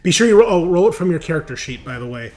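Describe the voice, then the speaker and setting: A man's voice: sits high, sing-song, rapid-fire, crowd noise. A man acting as game master, narrating the action of a tabletop role-playing game in a casual, conversational, storytelling way.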